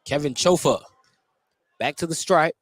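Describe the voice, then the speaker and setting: American guy doing live hoops play-by-play on radio, slightly high voice, short clipped phrases. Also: unhurried, no room sound, studio quality. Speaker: radio play-by-play basketball announcer. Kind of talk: live sports commentary. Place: indoor basketball gym.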